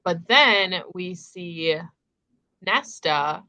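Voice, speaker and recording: Woman doing a casual book chat, lowish voice but really bright, close dry sound. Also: animated, very slow, no echo, low-quality recording. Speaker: book discussion host.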